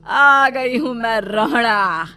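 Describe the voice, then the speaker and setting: Slow, cackling wicked witch. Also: fairly high pitch, sharp tone, smooth, soft background music. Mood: gloating, menacing. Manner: slow gloating threats broken by cackles. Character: wicked cackling witch who steals children, gloating, menacing. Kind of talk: cartoon horror acting.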